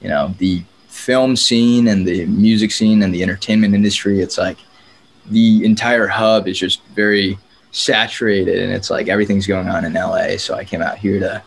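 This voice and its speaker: A young man from San Diego, a filmmaker guest talking casually in an interview, relaxed and full of filler words. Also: fairly low pitch, normal speed, gravelly, slight background noise, poor audio. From San Diego, California. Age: young adult. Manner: relaxed, chatty, frequent "like" fillers.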